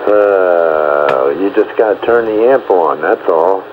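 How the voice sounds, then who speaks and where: A man's voice: low-pitched, animated, slow pace. A man chatting in a familiar, joshing way over two-way radio. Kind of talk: casual chat, sign-offs. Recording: tinny radio line, loud static.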